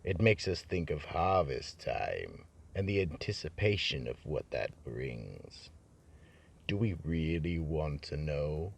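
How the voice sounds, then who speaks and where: A man playing a brooding vault keeper, narrating a horror radio drama in a slow, ominous, theatrical manner. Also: a bass voice, sing-song, vocal fry, slightly rough, exaggerated voice. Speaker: horror anthology host and narrator.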